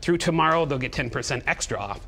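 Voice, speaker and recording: A man's voice: medium pitch, expressive, fast talker, sharp tone. A middle-aged man, chatting and joking as he gives step-by-step instructions as a guest on live television. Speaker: guest towel-folding demonstrator and seller. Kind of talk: casual live TV demonstration. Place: TV studio at a demonstration table.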